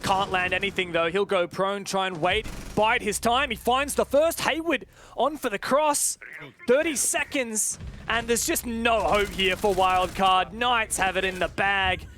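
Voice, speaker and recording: A man's voice: sits high, a little slow, bright, forceful tone. A man giving live esports play-by-play commentary with dramatic, colourful phrasing, as a match caster.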